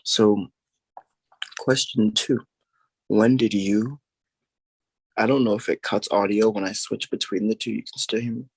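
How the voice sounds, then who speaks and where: A man's voice: fairly low pitch, steady tone, measured pace, pauses often, breathy edge, no room sound, low-quality recording. A man, a music artist, interviewing a podcast host in an enthusiastic, slangy way. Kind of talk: casual interview chat.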